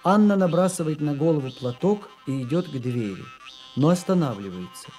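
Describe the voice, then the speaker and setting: A man narrates a radio drama from a script. His voice is fairly high, with music behind it. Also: expressive, moderate pace, mellow. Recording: 1980s radio drama recording.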